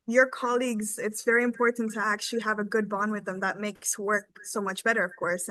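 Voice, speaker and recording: High-voiced young woman, earnest and enthusiastic. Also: a bit bright, no room sound, poor audio. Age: 20s. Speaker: academy team member giving a testimonial. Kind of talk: informal webinar testimonial.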